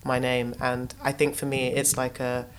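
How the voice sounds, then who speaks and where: A woman's voice: very deep voice, completely flat delivery, normal speed, crowd noise. A young woman from Kent with a southern English accent, chatting casually and candidly as an interview guest, in colloquial speech that often checks the listener is following. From Kent, England. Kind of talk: casual conversational chat.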